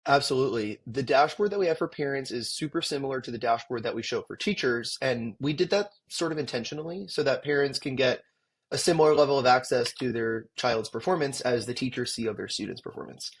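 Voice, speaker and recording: A man's voice: medium pitch, fairly quick, husky. A man who runs an education tech company, answering interview questions in an articulate, explanatory way with occasional ums.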